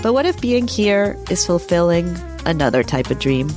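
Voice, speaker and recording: A woman's voice: lowish voice, big pitch swings, moderate pace. A woman from Peru reading scripted narration for a podcast trailer as its host. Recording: music bed under voice. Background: music.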